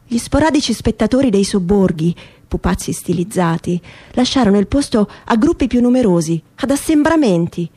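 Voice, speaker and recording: A woman giving a dramatic literary reading in measured, emphatic phrases, in the style of radio theatre. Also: mid-range voice, animated, mellow, husky. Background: music.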